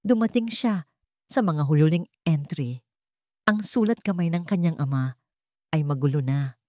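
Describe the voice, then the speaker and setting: A Filipino-accented woman reads a dramatic story as its narrator. Her voice sits on the low side for a woman. The recording is dry and close, with no room sound. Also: expressive, moderate pace, dark tone, no room sound.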